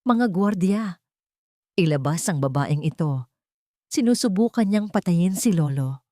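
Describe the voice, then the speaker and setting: A woman acts out a young audio drama villainess with a low female voice. She presents herself as innocent and devoted, with calculation and spite underneath. The recording is close and dry. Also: animated, unhurried, no room sound. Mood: angry, frightened. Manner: gentle coaxing that turns to shrill outrage. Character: sweet-talking scheming young woman, falsely innocent, vicious when cornered.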